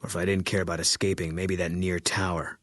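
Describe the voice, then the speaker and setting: An actor playing a terse, stoic ex-military sniper in a video game, grim and fatalistic, with a low husky voice. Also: male voice, little pitch movement, fast, fairly bright tone, dry recording, clean studio sound. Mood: grim, guarded. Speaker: video game companion character.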